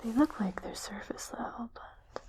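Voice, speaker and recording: A woman playing a calm, clinical spaceship doctor in an ASMR roleplay, whispering breathily in a soothing, gently inquisitive way. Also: fairly high pitch, normal speed, lightly acted. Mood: curious, concerned. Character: calm, clinical female spaceship doctor examining an injured crewmate.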